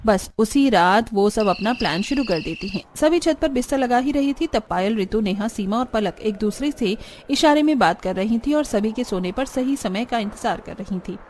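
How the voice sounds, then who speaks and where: A woman narrating a cartoon story in a lively, sing-song way. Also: fairly high pitch, moderate pace, slight background noise. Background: soft background music.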